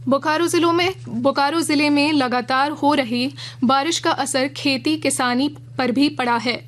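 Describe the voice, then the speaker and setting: A woman reading a regional radio news bulletin in a measured, formal way from a studio. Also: high-pitched, steady tone. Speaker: news reader.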